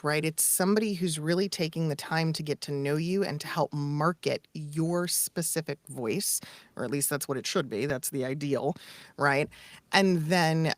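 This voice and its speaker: A woman's voice: deep voice, dry recording. A woman coaching in a conversational live discussion, explaining points and often checking in with "right?". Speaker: voiceover coach and co-host.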